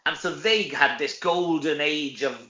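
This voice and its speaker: A man's voice: a little high, unhurried, bright, forceful tone, roomy sound, highs cut off, slight background noise. A middle-aged Welsh man, an actor chatting casually as an interview guest, informal and full of tag questions. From Wales.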